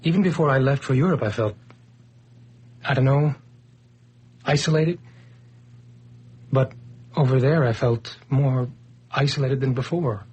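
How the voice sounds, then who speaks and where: A man's voice: medium pitch, a little slow, long pauses, darkish tone, breathy edge. An actor playing Jim, a nervous, jumpy man recovering from a breakdown, in an old radio drama. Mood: pleading. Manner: jumpy, anxious, pleading.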